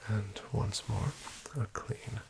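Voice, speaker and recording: A man in an ASMR doctor roleplay, speaking in a slow, gentle half-whisper full of soft pauses and fillers. His voice sits low and crackles with a heavy, gravelly fry. A clear layer of background noise runs under the close-miked recording. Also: level tone.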